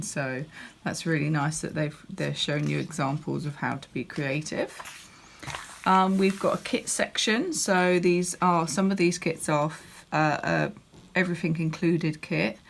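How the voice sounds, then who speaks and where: A woman with a croaky voice, chatting casually as she walks through a crafting catalogue, with lots of ums and restarts. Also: sits low, moderate pace, slight background noise. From United Kingdom. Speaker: crafting demonstrator. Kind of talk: casual product walkthrough.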